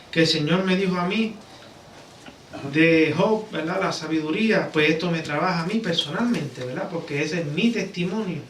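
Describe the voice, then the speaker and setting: A man's voice: high-pitched, a bit bright. A man with a Puerto Rican accent preaching conversationally in a church, often checking for agreement with rhetorical questions. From Puerto Rico. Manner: repeatedly seeks agreement with rhetorical tag questions. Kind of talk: conversational sermon. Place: church sanctuary. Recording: roomy, distant mic, background noise.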